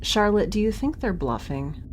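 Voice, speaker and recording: A woman's voice: medium pitch, sing-song, normal speed, natural voice. A woman playing a wry, flustered young woman alone on a spaceship, in a casual, hesitant, sarcastic audio drama style. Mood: exasperated. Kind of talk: naturalistic sci-fi audio drama acting. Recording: faint ship ambience noise.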